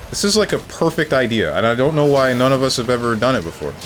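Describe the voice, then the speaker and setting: A man streaming a video game, chatting casually with his viewers and joking around, with bursts of mock excitement. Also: medium pitch, fast talker, slight background noise. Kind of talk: casual live-stream chat while gaming. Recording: game music playing underneath.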